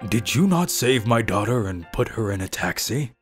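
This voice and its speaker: A grave, courteous middle-aged father acted by a man in a manga drama. He speaks formally and with measure at a medium male pitch, over background music. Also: very animated, normal speed, lightly acted. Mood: grave, grateful. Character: serious, polite middle-aged father and company president. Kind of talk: dubbed manga story acting. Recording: music under the voice.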